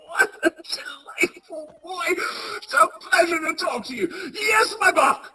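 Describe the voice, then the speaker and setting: A woman playing an excitable, repentant old male miser in a radio play, stammering and exclaiming. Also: very high-pitched, slow, exaggerated voice, low-quality recording. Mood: giddy, overjoyed. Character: frightened old male miser, desperately repentant, then giddy.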